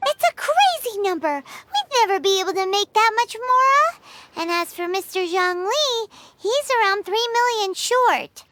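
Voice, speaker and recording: A woman voicing a small, excitable, cheeky fairy mascot in a video game, with a squeaky childlike voice. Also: a little slow, very noisy. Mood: cheeky, exasperated.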